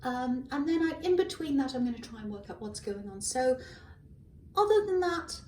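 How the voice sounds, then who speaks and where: A hoarse woman with a fairly high voice, rambling through a tarot reading and correcting herself as she goes. She sounds distant from a misbehaving microphone in an echoey room, with faint traffic behind her. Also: animated, normal speed, a little mellow. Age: adult. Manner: rambling, musing with many ums and self-corrections. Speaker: tarot reader. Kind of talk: casual tarot reading to camera. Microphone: malfunctioning microphone at a distance. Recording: echoey room, far from a faulty mic. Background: faint passing cars.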